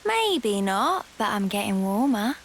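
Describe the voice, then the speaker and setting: A woman's voice: mid-range voice, sing-song, measured pace, a little hiss or hum. A woman playing a cheeky but caring young female soldier in a game cutscene, blunt and informal. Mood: teasing. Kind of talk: video game cutscene acting.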